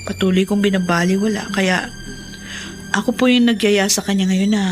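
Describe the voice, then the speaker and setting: A woman's voice: medium pitch, fairly even intonation, normal speed, faint music behind, natural voice. An actress playing a forgiving young wife and mother in a Filipino radio drama, speaking earnestly and respectfully. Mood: earnest, hesitant.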